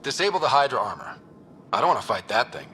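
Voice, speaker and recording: Casting: young sci-fi game hero, decisive leader, bright forceful voice, quick delivery, faint noise behind. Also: male voice, medium pitch, big pitch swings. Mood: commanding. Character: young confident human male squad leader, decisive explorer. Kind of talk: video game voice acting.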